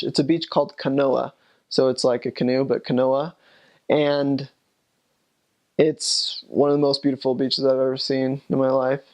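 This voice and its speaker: A man's voice: medium pitch, gravelly, a little mellow. A young man, a returned missionary, recalling his mission in a rambling, casual way with many fillers and restarts. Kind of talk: casual recollection.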